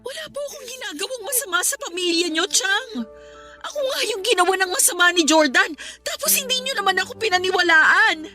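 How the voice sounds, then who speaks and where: High, bright-voiced wounded niece. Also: female voice, lively intonation, brisk, faint music behind, heavily acted. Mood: hurt, defiant. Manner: aggrieved, pleading yet firm. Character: wounded, resentful young married niece standing her ground. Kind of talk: Tagalog radio drama acting.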